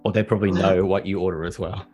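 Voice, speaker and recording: Polite deep-voiced male interviewer. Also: little pitch movement, fast, mellow, slightly rough, faint music behind. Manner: polite, brief. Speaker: interviewer, podcast host. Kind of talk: interview questions.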